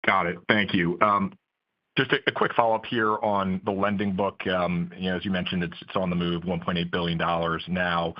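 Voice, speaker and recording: A man's voice: deep voice, flat delivery, normal speed. A man speaking as an analyst, politely putting a question on an earnings call. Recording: dull conference-call audio.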